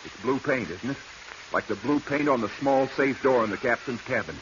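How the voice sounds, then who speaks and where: A man's voice: mid-range voice, brisk, lightly acted. A male actor playing an earnest, eager salvage partner with a folksy American manner and accent, in 1940s radio drama style. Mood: excited, persuasive. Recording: muffled, noisy vintage radio recording.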